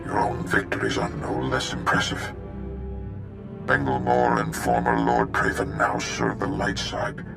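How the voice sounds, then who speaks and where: An actor voicing a wise old male Jedi master in a video game, speaking in a measured, earnest way. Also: full character voice. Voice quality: deep gravelly. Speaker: Jedi master on a holo call.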